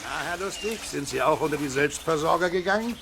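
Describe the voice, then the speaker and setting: A man's voice: fairly high pitch, animated, brisk, vocal fry. A man playing a blustery, self-satisfied and easily alarmed neighbour in a German children's radio play, pompous and emphatic. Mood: boastful. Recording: noisy cassette transfer of radio play.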